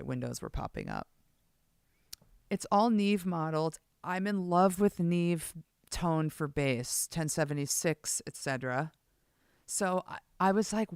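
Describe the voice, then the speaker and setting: A woman with a low voice, rambling excitably on a casual live stream as she plays bass and fiddles with her gear. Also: gravelly, smooth, no echo, studio quality. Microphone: streaming setup mic.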